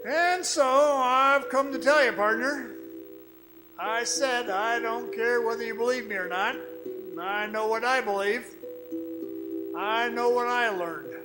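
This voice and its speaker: An actor playing a sinister storyteller devoted to an underground fire cult, confiding and taunting in a radio drama monologue. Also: male voice, very high voice, takes it slowly, rough recording. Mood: insistent, confiding. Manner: menacing, taunting, confiding, addressing the listener. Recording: loud music bed under the voice. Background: loud dramatic music.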